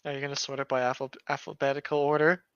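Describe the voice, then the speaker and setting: A man's voice: medium pitch, little pitch movement, rapid-fire, a bit bright, smooth, dry recording. A man in casual, wisecracking banter as a podcast co-host, on a slightly dull microphone. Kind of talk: casual chat, joking banter.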